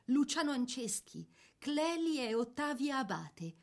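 A woman performing as a theatrical narrator, presenting a life story in scripted, measured phrases with pointed pauses. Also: sits high, very animated, gravelly, full character voice. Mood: informative. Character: woman narrator presenting a dead poet's life through photos. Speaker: narrator in a staged biography of a poet. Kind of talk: scripted dramatic narration, showing photographs.

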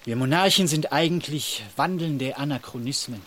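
A man's voice: fairly high pitch, normal speed, a bit bright, slight background noise, natural voice. An actor playing a grandiose, unhinged king in a radio play, with an exalted, declamatory delivery. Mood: grandly musing. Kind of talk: German radio play acting.

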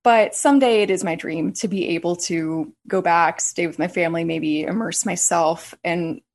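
A woman's voice: lowish voice, normal speed. A young woman from the United States, chatting expressively as an interview guest and laughing often. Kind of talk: casual interview chat.

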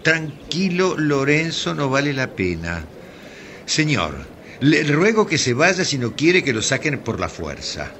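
Caballero argentino amable, lento y cascado. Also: male voice, on the high side, big pitch swings, unhurried, a bit bright, slight background noise, exaggerated voice. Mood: calm, firm. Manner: hesitant, polite. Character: gentle, courteous older man, timid confidant hiding a secret. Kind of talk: radio drama acting.

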